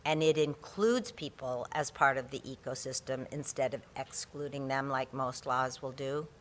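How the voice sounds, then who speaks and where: Casting: low, bright-toned woman instructor; chatty, self-deprecating, restarts a lot; mic in a big conference room. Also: moderate pace. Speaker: environmental law instructor. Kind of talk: conference presentation. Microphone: handheld or podium microphone.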